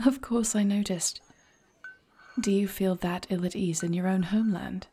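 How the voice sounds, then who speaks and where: A woman playing a noble, devoted warrior queen in an intimate fantasy audio roleplay, husky and breathy, speaking in measured, earnest, formal phrases. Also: medium pitch, fairly even intonation, dark tone, slight background noise, lightly acted. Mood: concerned, thoughtful.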